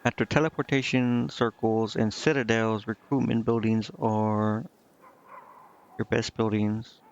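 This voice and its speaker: Gravelly man, muffled game stream. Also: on the low side, little pitch movement, measured pace. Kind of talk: casual gameplay commentary, reading guide text aloud. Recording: muffled lo-fi stream audio over game music. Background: game music.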